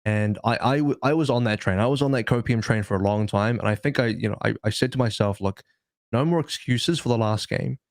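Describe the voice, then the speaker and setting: A man's voice: on the low side, fairly quick, dry recording. Man arguing esports, close dry sound. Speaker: podcast panelist. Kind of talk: casual esports analysis.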